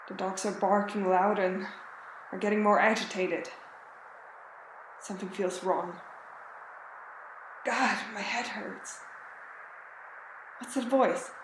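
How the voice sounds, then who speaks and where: A frightened woman explorer, played by an actress in a radio drama, unravelling with dread in the Antarctic. She speaks very slowly and haltingly, brooding over each phrase. The recording is roomy, with clear noise behind her. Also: mid-range voice. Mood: rising panic. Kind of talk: radio drama acting, spoken journal.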